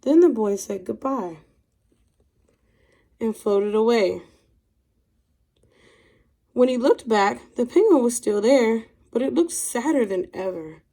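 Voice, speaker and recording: A woman with a slightly high voice speaks as a teacher to young pupils. Her intonation is very lively and expressive. The delivery is that of a picture-book read-aloud, clearly aimed at children. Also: slow pace, pauses often.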